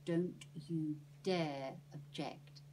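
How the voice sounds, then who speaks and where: A woman's voice: mid-range voice, big pitch swings, unhurried, a bit bright, slight background noise. A woman voicing a bossy, no-nonsense aunt with a firm, commanding manner. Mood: brisk. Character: bossy, no-nonsense aunt who lays down rules.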